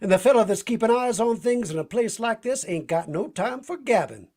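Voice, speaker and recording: A man acts out a talkative, folksy adventurer from an old-time radio serial. He speaks in homespun, ungrammatical slang with an eager temper. His pitch moves around in a lively, animated way. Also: fairly quick. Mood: chatty. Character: folksy, talkative, eager male cowboy-type adventurer, Doc. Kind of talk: vintage radio drama acting.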